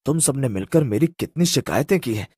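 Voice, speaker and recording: A man's voice: medium pitch, expressive, a little fast, mellow, slightly rough, dry recording, clean studio sound. A man voicing the angry, vengeful ghost of a young boy in a menacing, accusing way for a horror story.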